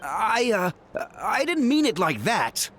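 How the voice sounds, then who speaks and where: A man's voice: high-pitched, very animated, slow pace, a bit bright, a little hiss or hum, heavily acted. An actor voicing an awkward, hesitant tribesman in a video game. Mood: uneasy, questioning.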